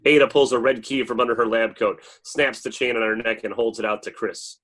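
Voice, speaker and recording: A man with a mid-pitched voice narrates a screenplay. He reads scripted action lines aloud in the loose setting of a table read. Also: fairly even intonation, fairly quick, a bit bright. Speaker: narrator reading stage directions.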